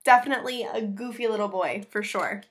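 Expressive American woman reading to children. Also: medium pitch, brisk. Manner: expressive, explains things simply for children. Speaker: teacher reading a picture book to her class. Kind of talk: children's read-aloud with chatty asides. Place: small room at home with bookshelves.

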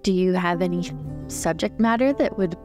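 A woman's voice: fairly low pitch, moderate pace, lightly acted. A woman voicing a courteous, curious, apologetic young female artisan in a fantasy tabletop roleplay. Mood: eager to please. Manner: courteous, earnest. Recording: dry, faint music behind.

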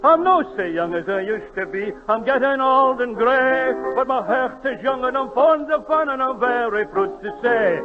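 A man's voice: very high-pitched, moderate pace, hardly any pauses, music in the background. A man in his sixties performing a comic Scottish song on 1930s radio. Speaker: amateur singer of Scotch songs. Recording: tinny, narrow 1930s radio broadcast.

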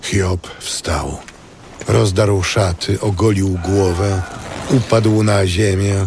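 A man giving solemn, measured scripted narration in a biblical radio drama. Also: low-pitched, steady tone, background noise.

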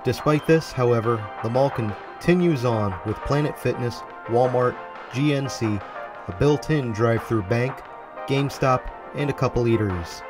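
Man narrating over music, middling-deep voice. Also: moderate pace. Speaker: video narrator. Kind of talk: scripted documentary narration over a walkthrough video. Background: background music.

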